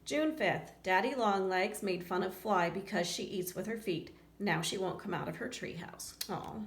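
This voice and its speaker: A woman's voice: mid-range voice, moderate pace, creaky. A woman reading a children's picture book aloud expressively, breaking off for chatty asides to young listeners. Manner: expressive, acts out lines and chats to young listeners. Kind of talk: read-aloud storytelling with casual asides. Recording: roomy echo, faint background noise.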